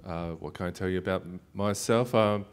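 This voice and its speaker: A man in his fifties introducing himself on a panel, hesitant, with many pauses and fillers. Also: deep voice, clear, resonant tone. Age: 50s. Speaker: panelist, consumer consultant. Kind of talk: panel discussion answers.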